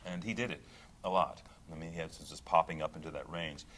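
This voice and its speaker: A middle-aged male opera singer talking in a relaxed interview, thoughtful, with many fillers and restarts. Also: rumbling low, brisk, slight background noise. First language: English. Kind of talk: casual interview talk.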